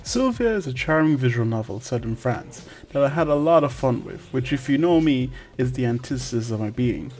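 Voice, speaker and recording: A man's voice: medium pitch, expressive, brisk, hardly any pauses, darkish tone, soft background music. A man reading a scripted video game review in a wry, tongue-in-cheek way.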